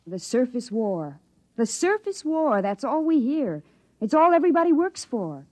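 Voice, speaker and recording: A woman's voice: sits high, very animated, normal speed, a little mellow, slight background noise. An American actress playing an earnest, questioning young woman scientist in 1950s radio drama, intense and frank. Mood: bitter. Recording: dull vintage 1950s radio recording.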